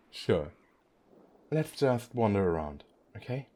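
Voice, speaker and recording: A man playing a gentle, weary young femboy sea god in an intimate ASMR roleplay, speaking softly and hesitantly with frequent pauses. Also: mid-range voice, very animated, full character voice. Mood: playful, tender. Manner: soft, hesitant, with frequent pauses and small chuckles.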